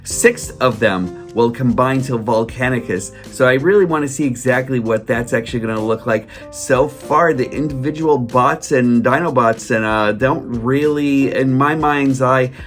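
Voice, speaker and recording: A man talking casually to his viewers in a vlog, hoarse from a cold, rambling with lots of fillers and restarts. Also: medium pitch, moderate pace, hardly any pauses, soft background music. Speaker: toy collector vlogger. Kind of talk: casual solo vlog chat.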